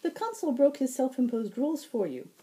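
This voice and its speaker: A woman's voice: sits high, sing-song, brisk, warm tone, voice pushed up. A woman voicing a playful, teasing male fox fairy herald with courtly, flowery phrasing and a silvery tone, in a fantasy story reading. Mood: matter-of-fact, playful.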